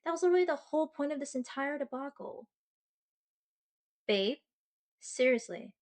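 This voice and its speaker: A somewhat high-pitched young-love girlfriend character , acted by a woman, speaks straight to her partner in an ASMR audio roleplay. She is sweet and earnest, halting when bashful. She slips into playful, self-mocking seriousness as she chats. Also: lively intonation, a little mellow. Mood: insistent. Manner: chatty, halting, bashful with dramatic mock seriousness.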